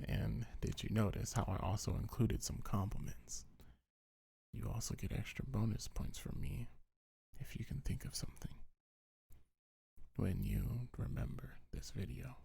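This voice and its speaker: A man with a low, mellow voice speaks softly in an ASMR style, often dropping into a whisper. His delivery is very husky and breathy, with gentle, soothing reassurance throughout. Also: expressive, a little slow. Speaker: ASMR creator reading a comfort script. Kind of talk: ASMR affirmations, soft-spoken and half-whispered.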